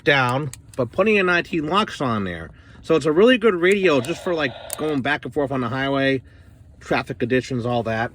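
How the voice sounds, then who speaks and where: A man's voice: mid-range voice, fairly bright tone, a little hiss or hum. Rambling, plain-spoken American man. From northern United States. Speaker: CB radio enthusiast reviewing gear. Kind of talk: casual off-the-cuff product demonstration. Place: inside a parked car.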